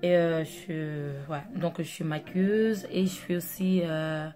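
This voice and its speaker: Young woman, from Angola, native Dutch and Portuguese speaker; low, casual, filler-heavy vlog chat over a music bed. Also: fairly even intonation, takes it slowly. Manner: chatty, rambling, with many fillers. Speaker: makeup artist vlogger. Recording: music playing under the voice.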